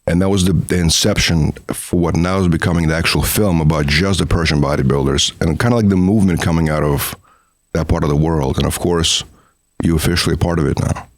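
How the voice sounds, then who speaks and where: A middle-aged man with a very low voice speaks as a guest on a podcast. He is talkative and chatty, with lots of "you know what I mean." The sound is dry and close-miked. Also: steady tone, fairly quick, no echo. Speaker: documentary filmmaker, guest. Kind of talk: casual interview conversation.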